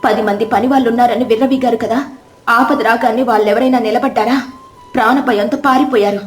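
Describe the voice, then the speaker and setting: A woman narrator plays a poor village sister-in-law in a storybook tale. Her voice is fairly high, grave and admonishing. There is a roomy echo on the recording. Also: steady tone, a little fast, lightly acted. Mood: grave reproach. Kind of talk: dramatic storybook character reading.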